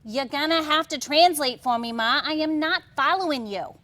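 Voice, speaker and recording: A woman's voice: high-pitched, moderate pace, bright, forceful tone. A woman acting a blunt, sceptical working-class housewife in a staged comedy reading. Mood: baffled. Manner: blunt, dismissive, incredulous.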